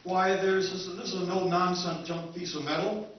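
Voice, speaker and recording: An actor playing a persuasive junk dealer giving sales patter in a staged old-time radio drama. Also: male voice, high voice, steady tone, normal speed, natural voice. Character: smooth-talking junk dealer selling a lifeboat. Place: convention stage. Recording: dull, echoey, distant stage recording.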